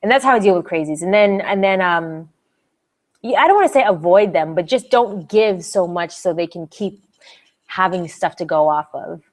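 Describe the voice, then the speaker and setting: A woman's voice: fairly low pitch, normal speed, darkish tone. A young woman from Canada hosting a live Q&A stream, chatty and bubbly, giggling often and restarting her sentences as she answers viewer comments.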